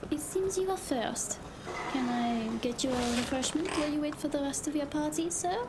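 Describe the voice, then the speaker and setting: A woman's voice: sits high, normal speed, heavy background noise, close to an everyday voice. A woman playing a polite, formal hostess at an upscale lounge in a noir audio drama. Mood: courteous. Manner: courteous, formal service tone. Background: music.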